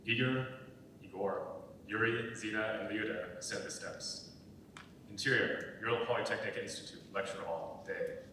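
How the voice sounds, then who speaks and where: Male narrator, scripted stage directions: sharp, low voice, recorded in a very echoey hall with faint noise. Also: moderate pace. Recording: echoey hall, distant mic, faint rumble.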